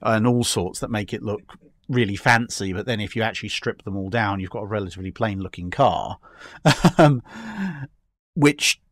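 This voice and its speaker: A man with a fairly low voice talks casually and at length. He wanders through his thoughts with a wry, amused air, and the sound is dry and close. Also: normal speed, no room sound. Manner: discursive, wryly amused. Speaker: podcast guest answering fan questions. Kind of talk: casual chat.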